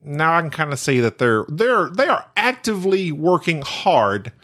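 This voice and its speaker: A man co-hosting a casual podcast who teases his partner with quick joking interjections. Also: on the high side, big pitch swings, fairly bright tone. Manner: teasing, jokey interjections. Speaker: podcast co-host.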